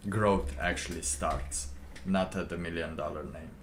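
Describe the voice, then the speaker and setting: A man live streaming, chatting casually in a halting, rambling way with lots of fillers. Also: deep voice, fairly even intonation, slight background noise. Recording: roomy, distant mic with faint ambience.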